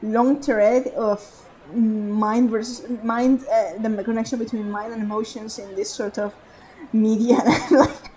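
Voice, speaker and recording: A woman's voice: fairly high pitch, steady tone, unhurried, mellow, rough recording. A woman streaming a game, rambling in casual commentary with frequent laughter and restarts.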